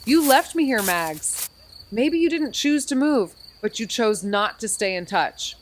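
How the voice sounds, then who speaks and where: Fairly high female voice, bitter abandoned young friend, blunt, raw, accusing, night insects behind. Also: lively intonation, a little slow, slight background noise, poor audio. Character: Amy, wounded, bitter, restless young woman, abandoned friend. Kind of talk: naturalistic audio drama acting, some first-person noir narration.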